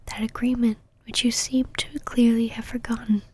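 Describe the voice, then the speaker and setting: Casting: actress for female vampire knight, ASMR roleplay. Breathy whisper, haughty, possessive, teasingly controlling. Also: fairly high pitch, level tone. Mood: stern, condescending. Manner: whispered, slow condescending endearments and commands.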